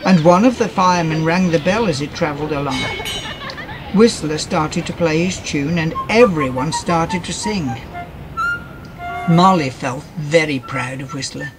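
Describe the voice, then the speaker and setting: A man narrating a children's fairytale in an expressive storytelling manner. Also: on the high side, unhurried, music in the background, crowd noise, poor audio.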